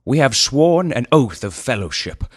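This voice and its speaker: A man's voice: mid-range voice, very animated, moderate pace, breathy edge, dry recording, studio quality, pitched above the performer's own voice. A man voicing a wise old leader who speaks formally and earnestly. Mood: solemn.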